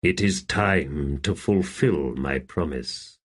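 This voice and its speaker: A man's voice: a bass voice, slow pace, breathy edge, pitched below the performer's own voice, heavily acted. A man voicing a cold ancient warrior lord who speaks tersely.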